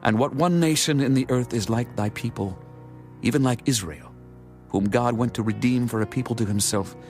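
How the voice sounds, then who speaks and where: A man as low-voiced, devout King David. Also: darkish tone, natural voice. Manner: earnest, measured, formal prayer. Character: King David, humble, reverent, devout ancient Israelite king. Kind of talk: dramatized scripture reading. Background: music.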